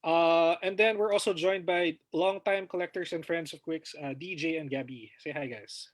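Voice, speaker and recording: A man hosting a casual live online talk show, his voice sitting a little high for a man. He talks with plenty of "uh" fillers and stop-start phrasing. The sound is dry, with no room around it. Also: normal speed, no room sound. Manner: hesitant, many filler uhs.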